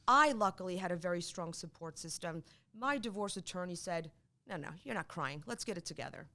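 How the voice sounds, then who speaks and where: A middle-aged woman from Poland, whose first language is Polish, speaking as a divorce attorney in an interview, emphatic and quick to repeat herself when excited. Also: on the low side. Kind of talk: conversational interview.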